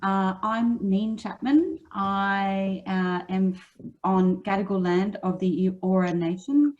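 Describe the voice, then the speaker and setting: A person around fifty with an Australian accent, introducing themselves on a panel with a wry, self-joking manner. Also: female voice, medium pitch, fairly even intonation, slow pace, a little mellow. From Sydney, Australia. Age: 50s. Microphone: video call. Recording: dull lo-fi video call audio.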